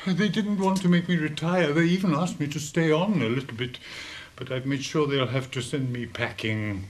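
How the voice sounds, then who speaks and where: A man's voice: a little high, moderate pace, lightly acted. An older man playing a despairing, idealistic headmaster near retirement in a British radio drama, earnest and mournful in an oratorical way. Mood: dejected.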